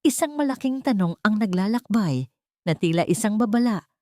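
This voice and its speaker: A woman narrating a dramatic audio story in a scripted, expressive storytelling manner. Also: mid-range voice, brisk, no echo, studio quality.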